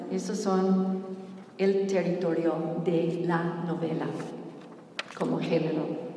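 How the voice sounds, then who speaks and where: Echoey hall, English-speaking woman, mellow voice. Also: medium pitch. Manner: halting, careful delivery in a second language. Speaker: novelist presenting her book. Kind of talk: public talk at a book presentation. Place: large reverberant room before an audience. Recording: echoey hall, distant mic, faint noise.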